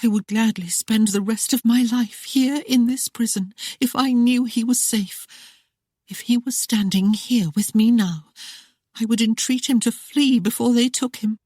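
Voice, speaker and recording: A woman's voice: a little high, measured pace, mellow, breathy edge, no room sound, studio quality, pitched above the performer's own voice. A woman playing a defiant captive young noblewoman. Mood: earnest. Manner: pleading yet defiant, formal old-fashioned speech. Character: proud young noblewoman held prisoner, defiant.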